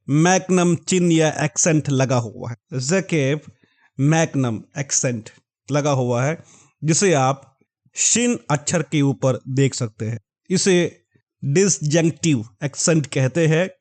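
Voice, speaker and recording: A man's voice: a little high, a little mellow. A man teaching a Bible lesson, carefully spelling out words and earnestly addressing his listeners as his dear ones. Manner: slow, deliberate spelling-out of words.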